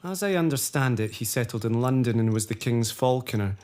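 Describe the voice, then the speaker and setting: A man playing an indulgent gentleman husband. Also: medium pitch, lively intonation, fairly quick, clear, resonant tone, close to an everyday voice. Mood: storytelling. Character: easygoing gentleman husband of the lady. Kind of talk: period audio drama acting.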